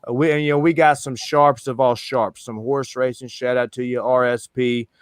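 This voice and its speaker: Slangy male stream host, smooth voice. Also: medium pitch, little pitch movement, moderate pace, no room sound, clean studio sound. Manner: slangy, loose, rambling. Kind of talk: casual streaming chat.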